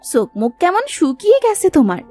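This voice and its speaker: A woman plays a young village daughter in a cartoon fairy tale, caring and a little bossy. Her high, extremely animated voice coaxes and fondly scolds over faint music. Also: a little fast. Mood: fondly scolding. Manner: coaxing, affectionate scolding.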